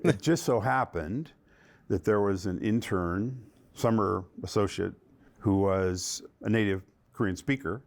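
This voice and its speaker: A man's voice: on the low side, unhurried, gravelly, soft background music. A man, a lawyer, telling an anecdote in a documentary interview, quoting himself and others.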